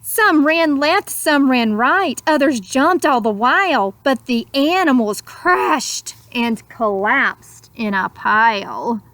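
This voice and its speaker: A woman reads a children's story aloud at an unhurried, slow pace. Her voice is high and expressive, dramatizing the lines and adding simple asides for young listeners. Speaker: storybook reader.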